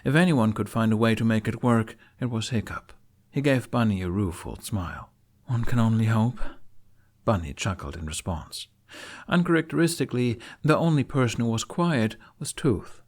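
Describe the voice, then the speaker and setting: A man's voice: medium pitch, moderate pace. A man reading a fantasy story aloud as scripted audiobook narration.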